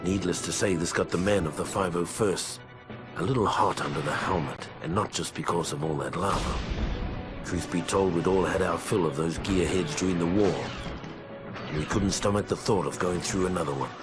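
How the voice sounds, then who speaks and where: Man acting as a weathered veteran trooper, low voice, measured and grave, dry soldierly wit, video game journal narration, prominent music underneath. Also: natural voice. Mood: wry, dry. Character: weathered male veteran clone trooper recalling his service. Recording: music behind the voice.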